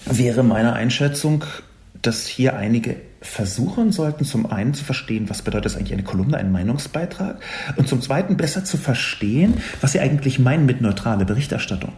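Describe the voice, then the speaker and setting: A man from West Berlin, a columnist, giving an argumentative monologue with sharp emphatic peaks and frequent filler sounds. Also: medium pitch, roomy sound. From West Berlin, Germany. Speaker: columnist responding to reader comments. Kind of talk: monologue commentary.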